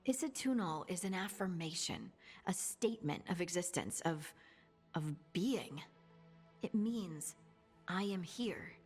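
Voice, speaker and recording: An absorbed, wondering dwarf woman scholar for a fantasy game. Her speech is slow, breathy and halting, carried in a long reverb with music underneath. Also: lowish voice, animated, a little mellow. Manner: halting, musing, trails off. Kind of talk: video game dialogue acting.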